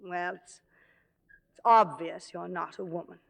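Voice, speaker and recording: An actress playing a proud, jealous, middle-aged theater star, theatrical and sarcastic, in classic film drama style. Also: female voice, fairly low pitch, steady tone, a little slow, creaky, fairly bright tone. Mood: wounded, subdued. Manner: biting, sarcastic, grandly theatrical. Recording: reverberant old film soundtrack, large hall.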